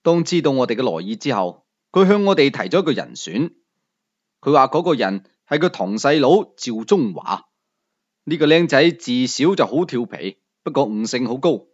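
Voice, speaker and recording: A man narrating a novel in the first person as a storyteller, in an audiobook reading. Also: highs cut off. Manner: first-person storytelling, rising and falling pitch.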